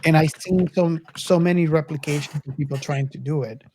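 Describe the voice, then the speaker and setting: A middle-aged woman hosting a casual film discussion, speaking reflectively and haltingly as she circles back over her phrases. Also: low voice, mellow, a little hiss or hum. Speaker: podcast host.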